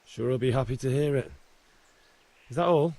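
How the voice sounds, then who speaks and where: An actor playing a wry, easygoing young blacksmith's son in a game cutscene, with a slightly husky voice. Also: male voice, medium pitch, normal speed, dark tone, no echo, natural voice. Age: young adult. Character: cheeky young village lad, easygoing. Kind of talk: video game cutscene acting.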